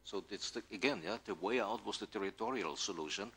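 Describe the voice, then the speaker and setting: A man answering questions as an academic panelist, halting and repeating words, often adding a questioning "yeah", with some room noise. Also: lowish voice, creaky, a bit bright, breathy edge. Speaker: academic panel speaker, legal scholar. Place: university conference hall.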